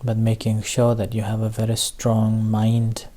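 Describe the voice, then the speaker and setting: A man with a soft-edged, relaxed voice guides a meditation session. He speaks very slowly, in short, halting phrases separated by long pauses. His intonation stays very flat as he gently gives instructions. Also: fairly low pitch. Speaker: Buddhist meditation teacher leading a group session.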